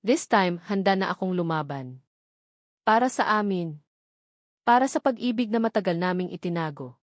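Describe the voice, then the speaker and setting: A woman's voice: lowish voice, animated, moderate pace, no room sound, clean studio sound. A woman storyteller giving scripted first-person romance narration in a dramatic, sentimental manner.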